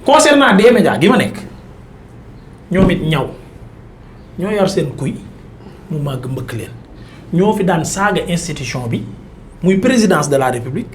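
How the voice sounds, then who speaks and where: A man with a Senegalese accent and a notably high voice argues like a seasoned political commentator. He is emphatic and insistent. The recording has a clear roomy echo around him. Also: sing-song, normal speed, long pauses, slight background noise. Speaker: political commentator on a talk show. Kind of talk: argumentative political debate, mixing Wolof and French.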